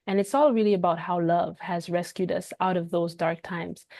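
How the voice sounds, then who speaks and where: A woman's voice: fairly low pitch, steady tone, normal speed, gravelly, a little mellow, dry recording, studio quality. A woman hosting a casual livestream, talking in a rambling way with many restarts and fillers. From Canada. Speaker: livestream host.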